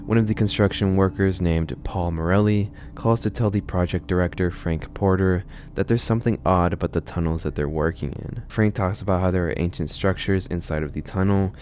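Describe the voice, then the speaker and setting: Very deep-voiced man narrating a video essay. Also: brisk, hardly any pauses, darkish tone, a little hiss or hum. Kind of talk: video essay commentary on a horror series.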